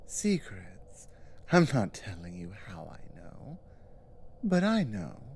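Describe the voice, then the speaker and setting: A woman playing a powerful, menacing giantess captor in a dramatic ASMR roleplay, commanding, deliberate and taunting. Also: very deep voice, mellow, slight background noise, full character voice. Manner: commanding, deliberate, taunting, with pauses and breathy drops.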